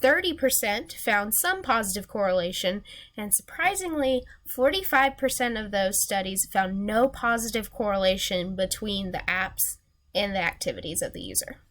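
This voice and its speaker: A woman's voice: medium pitch, animated, normal speed, fairly bright tone. A young woman with a nasal voice, just over a sinus infection, earnestly giving a recorded class presentation from her slides. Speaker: student presenter.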